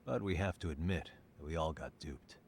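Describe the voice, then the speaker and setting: A game detective with a very low voice and dry, blunt delivery. He cuts through arguments with sardonic calm. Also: moderate pace, slight background noise.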